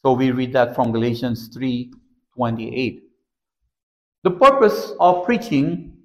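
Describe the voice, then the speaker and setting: A man in his sixties preaching a sermon from a pulpit in a small church, slow and deliberate, recorded by a camera across the room. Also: fairly high pitch, rough recording. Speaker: pastor.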